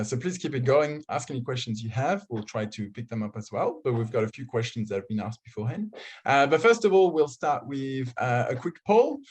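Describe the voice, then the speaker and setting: A young man with a French accent hosts an online student panel from his own video call. He chats loosely and pokes fun at himself, with plenty of ums between his phrases. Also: mid-range voice. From France. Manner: chatty, self-joking, filler-heavy. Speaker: host. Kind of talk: casual online panel hosting.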